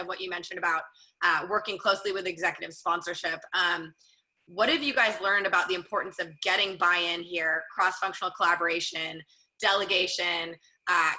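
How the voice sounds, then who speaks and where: A woman's voice: on the low side, bright, forceful tone, poor audio. A woman moderating a career panel, upbeat and encouraging as she puts questions to the guests.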